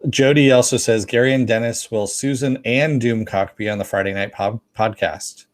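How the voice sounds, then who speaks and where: A man's voice: medium pitch, fairly even intonation, normal speed, no room sound, clean studio sound. A man co-hosting a podcast, chatting casually in brief, matter-of-fact remarks. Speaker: podcast co-host. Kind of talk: casual chat.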